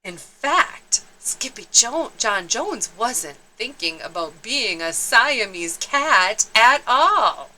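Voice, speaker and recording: A woman storytime host reading a children's picture book aloud with expressive, dramatic emphasis. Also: fairly high pitch, slow, few pauses, low-quality recording. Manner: animated, emphatic, dramatic delivery.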